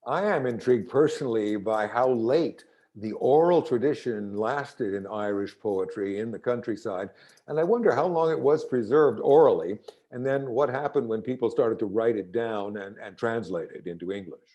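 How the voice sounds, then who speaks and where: A man's voice: mid-range voice, normal speed, dark tone. A man acting as interviewer, putting considered questions to a guest about literature.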